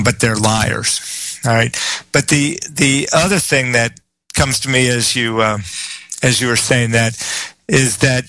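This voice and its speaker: A man joining a preacher on a program, explaining scripture in a measured way with some fillers. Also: medium pitch, pushed and bright, no room sound, rough recording. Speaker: co-minister. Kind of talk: discussing scripture.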